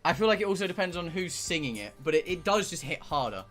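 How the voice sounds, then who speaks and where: A male streamer with a bright, forward voice pitched a little high. He rambles casually, swearing now and then, and reads game text aloud between bits of chat. Soft music plays behind him. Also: very animated, fast talker. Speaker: streamer playing a visual novel.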